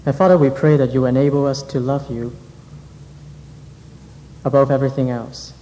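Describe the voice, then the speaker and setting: A man leading a congregation in earnest, measured prayer. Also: medium pitch, little pitch movement, warm tone, a little hiss or hum. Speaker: interpreter and prayer leader. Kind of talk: interpreting a sermon, then leading prayer. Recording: reverberant hall.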